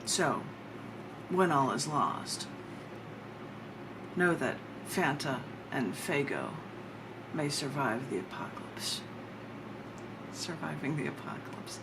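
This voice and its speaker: Low-voiced woman poet, noisy recording. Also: very animated, slow, creaky, long pauses. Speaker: poet reading own work. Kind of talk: live poetry reading with chatty introductions.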